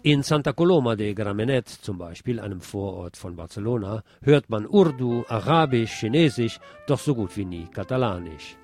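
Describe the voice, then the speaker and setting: A man's voice: on the low side, expressive, normal speed, hardly any pauses, darkish tone. Man presenting radio news over guitar music. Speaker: radio host and presenter, also an author. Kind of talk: scripted magazine-style news narration.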